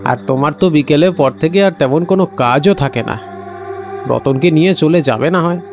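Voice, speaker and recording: A man playing an evasive village local who knows dark secrets, talking hesitantly and coaxingly in an audio horror story. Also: fairly high pitch, brisk, over music, lightly acted.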